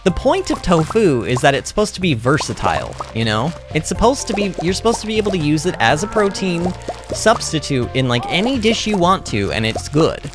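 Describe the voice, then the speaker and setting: A native English-speaking livestreamer talks casually to chat while gaming. The delivery is rambling and emphatic, with a very lively, swooping pitch. Music plays clearly behind the voice. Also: fairly quick. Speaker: livestreamer playing a farming game. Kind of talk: casual chatty livestream commentary, reading and answering chat.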